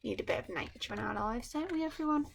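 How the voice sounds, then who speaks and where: A woman teacher reading a storybook aloud to children, adding gentle, musing asides to her young listeners. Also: a little high, quick pace, creaky. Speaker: primary school teacher.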